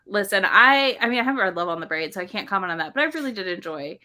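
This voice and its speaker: A woman chatting casually about books in an animated, conversational way. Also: medium pitch, quick pace. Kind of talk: casual book chat.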